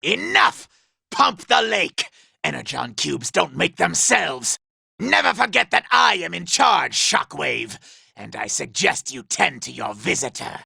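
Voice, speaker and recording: A male actor playing a vain, scheming, power-hungry robot commander in a video game, imperious and theatrical, with a raspy, gravelly voice. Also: on the high side, very animated, unhurried, pushed and bright, no room sound, studio quality, full character voice. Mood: commanding, irritated. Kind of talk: video game villain voice acting.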